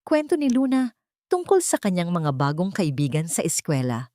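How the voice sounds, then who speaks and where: A woman's voice: lowish voice, wildly swooping pitch, moderate pace, mellow, dry recording. Woman narrator for audio drama, emotive storytelling, dry studio sound.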